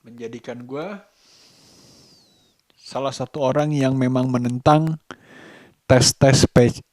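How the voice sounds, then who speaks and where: A man talking in a casual daily vlog, reflective and halting, pausing mid-sentence. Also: medium pitch, fairly even intonation, a little mellow, no room sound. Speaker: vlogger.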